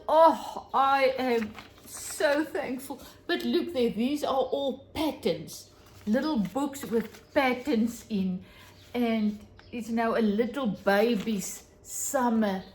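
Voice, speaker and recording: A middle-aged South African woman with an Afrikaans first language talks slowly to her viewers, drawing out her words. Her pitch sits a little high and swoops up and down. She gushes over small treasures with real delight. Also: a little hiss or hum. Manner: gushing, enthusiastic, exclaiming over small finds. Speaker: vlogger. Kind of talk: casual chat to camera.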